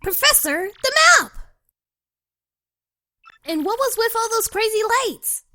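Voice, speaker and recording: A woman's voice: very high-pitched, animated, unhurried, sharp tone, no echo, clean studio sound, exaggerated voice. A woman voicing a curious, sassy young female sidekick in a video game dub, perky and questioning. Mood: curious, excited.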